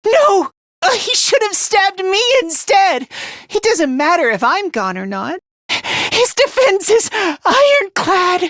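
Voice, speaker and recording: A man voicing a timid, self-loathing young male student in an anime video game, with a youthful, high voice and a meek, apologetic, hesitant manner. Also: big pitch swings, measured pace, a bit bright, dry recording, studio quality, full character voice. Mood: alarmed, agitated. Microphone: close studio mic.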